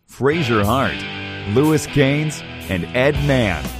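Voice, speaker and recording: A man reading a scripted show introduction in a slow, measured way. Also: medium pitch, expressive, music in the background. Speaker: show announcer.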